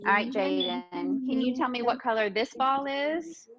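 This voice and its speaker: A woman's voice: high voice, lively intonation, moderate pace, lo-fi sound, a crowd in the background. A woman teaching pre-kindergarten children interactively, asking questions and repeating their answers in an encouraging way. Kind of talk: interactive lesson for young children.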